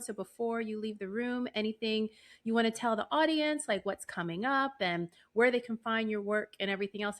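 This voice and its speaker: A woman's voice: medium pitch, bright, forceful tone. A woman hosting a casual interview podcast, effusive and exclamatory with her guest. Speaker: podcast host.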